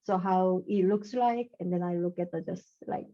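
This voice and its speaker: A young woman from South Korea with a Korean accent, speaking English haltingly as a guest author in a casual interview. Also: on the low side, mellow. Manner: halting, searching for words, laughs often. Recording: dull lo-fi sound.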